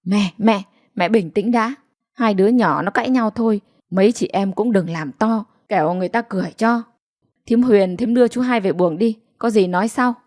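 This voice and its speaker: A woman's voice: medium pitch, moderate pace, no room sound, clean studio sound, natural voice. Woman voicing a placating, weak-willed eldest brother. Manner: soothing, urging calm.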